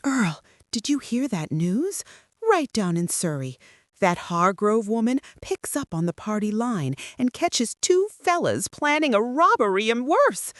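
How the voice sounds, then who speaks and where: A woman's voice: a little high, very animated, unhurried, no echo, studio quality. A woman playing a homey, gossipy retired small-town wife who is easily thrilled, in scripted radio drama dialogue. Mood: excited, gossipy. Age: older.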